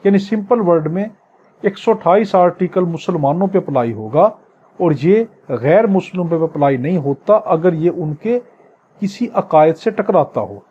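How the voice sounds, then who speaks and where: A man's voice: sits high, lively intonation, moderate pace, mellow, dull audio, slight background noise. A man with a Pakistani accent teaching law in an exam-prep lecture, reading clauses aloud and explaining them emphatically, repeating key points.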